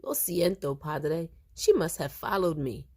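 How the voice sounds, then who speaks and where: A woman's voice: low-pitched, sing-song, measured pace, warm tone, husky, full character voice. A woman voicing an earnest, sweet little boy in a children's storybook read-aloud. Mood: soft, sheepish. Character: eager, sweet little boy who loves his pet turkey.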